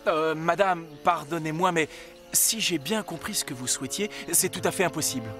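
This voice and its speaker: A man's voice: a little high, moderate pace, over music, lightly acted. A man voicing a young, well-mannered but haughty prince in a cartoon, courtly and flustered. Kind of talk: French cartoon dubbing.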